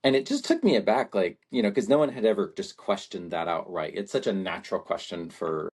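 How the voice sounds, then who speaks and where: A man's voice: low voice, very animated, brisk, darkish tone, husky. A man in his forties, a podcast guest who grew up in Latvia, talking in a thoughtful, rambling way and often checking in with a tag question. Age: 40s. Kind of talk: reflective conversation.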